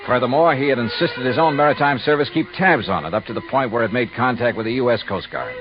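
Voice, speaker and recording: An actor playing a shrewd, hard-boiled insurance investigator in a 1950s radio drama, with a clipped, wry, insistent delivery. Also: male voice, mid-range voice, fast talker, lightly acted. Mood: wry, brisk. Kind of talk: 1950s radio detective narration and acting. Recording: muffled vintage radio recording, music behind.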